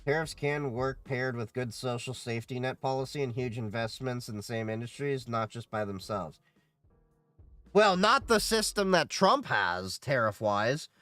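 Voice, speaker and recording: Animated man chatting on a livestream. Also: medium pitch, moderate pace, no echo, soft background music. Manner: animated, opinionated, reads chat questions aloud. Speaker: livestream host reading chat. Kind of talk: casual livestream chat.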